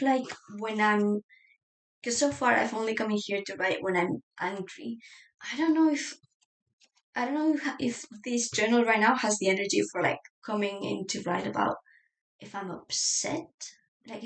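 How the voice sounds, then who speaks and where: A woman whose first language is Spanish, chatting casually at home as she flips through her journals, rambling with many fillers and soft trailing-off phrases. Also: on the high side, gravelly, poor audio. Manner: laughs lightly, trails off softly. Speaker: journaling vlogger. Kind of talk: casual flip-through chat.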